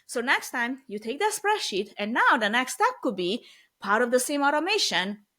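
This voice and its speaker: A woman's voice: high voice, normal speed. A woman in her forties with a Romanian accent, a non-native English speaker talking animatedly on a video call as an interview guest. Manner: animated, talks with her hands, uneven tempo. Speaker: guest, AV production business owner. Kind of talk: casual interview chat. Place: home office with lit bookshelves.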